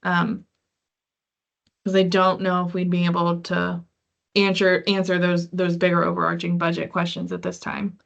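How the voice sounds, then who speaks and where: A woman's voice: on the low side, monotone, moderate pace, creaky. A woman hosting a conference call, answering questions from participants. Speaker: meeting host. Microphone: online conference call. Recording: muffled conference call audio.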